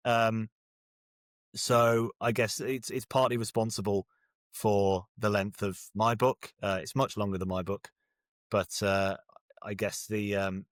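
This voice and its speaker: A man's voice: fairly low pitch, fairly even intonation, unhurried, dry recording, clean studio sound. A man with a British accent, speaking as a guest author in a casual interview, jokey, self-deprecating and rambling a little.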